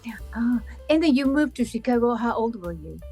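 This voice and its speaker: A woman hosting an interview, chatty and warm-paced, filling pauses with frequent yeahs and simple phrasing. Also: a little high, expressive, husky, faint music behind. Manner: chatty, frequent yeah fillers, non-native phrasing. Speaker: interviewer host. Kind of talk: casual interview chat.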